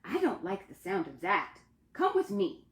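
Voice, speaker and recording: In an echoey room, a woman plays a magical river mermaid from a folktale, kindly and protective. The voice is folksy and animated, with lively rises and falls. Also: mid-range voice, measured pace.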